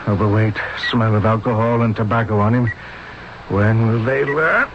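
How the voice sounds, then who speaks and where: An actor playing a determined, commanding emergency room doctor in a terse manner for a 1970s radio drama. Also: male voice, lowish voice, normal speed, very noisy, natural voice. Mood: urgent, focused. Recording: dull vintage radio broadcast.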